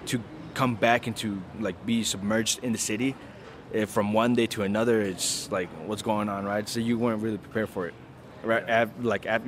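Young man chatting casually, low voice. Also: a little hiss or hum. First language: English. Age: young adult. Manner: relaxed, chatty, lots of "like" and "right?". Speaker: interviewee. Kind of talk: casual interview.

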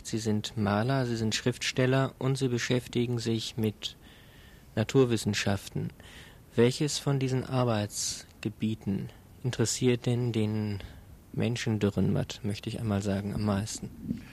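A man asking formal, polite interview questions in a measured, courteous manner. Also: lowish voice, fairly even intonation, a little mellow.

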